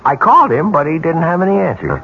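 A man's voice: on the high side, sing-song, fast talker, creaky. An actor playing a plain, honest, unassuming family man with a dry wit in a 1970s radio drama. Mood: wary, friendly. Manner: unassuming, dryly joking, hesitant. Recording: muffled 1970s radio broadcast, faint hiss.

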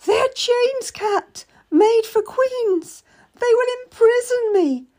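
A woman's voice: very high-pitched, expressive, a little slow, a little mellow, pitched above the performer's own voice. Woman voicing a terrified, tearful young noblewoman. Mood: frightened. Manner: trembling, pleading, near tears. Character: frightened naive young noblewoman bride.

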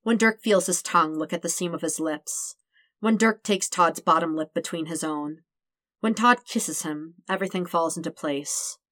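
A woman's voice: on the low side, moderate pace, fairly bright tone, no echo, studio quality. A woman reading a fictional story aloud as a scripted narration. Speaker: podfic reader.

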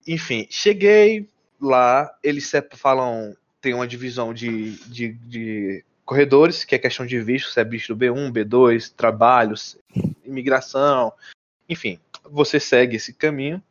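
A man's voice: medium pitch, unhurried. Brazilian man, casual storyteller, rambling and slangy, lo-fi dull recording. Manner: rambling, many restarts and slang. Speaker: guest telling about living abroad. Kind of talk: casual chat.

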